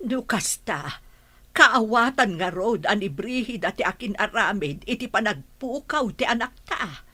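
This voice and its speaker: A woman's voice: mid-range voice, lively intonation, measured pace, pushed and bright, husky, full character voice. A woman playing an anxious, questioning village mother in an Ilocano radio drama, with an Ilocano accent. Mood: puzzled. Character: worried village mother of a missing daughter.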